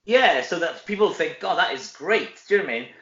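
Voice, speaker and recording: A man's voice: on the high side, expressive, fast talker, fairly bright tone. A middle-aged Welsh man chatting casually as an interview guest, rambling and conversational. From Wales. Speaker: interview guest, stage actor. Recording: roomy echo, dull lo-fi audio.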